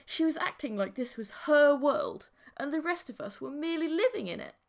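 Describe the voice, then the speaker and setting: A woman with a fairly high voice narrates an audiobook. She reads scripted fiction in the first person, telling the story as its central character. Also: expressive, moderate pace, vocal fry.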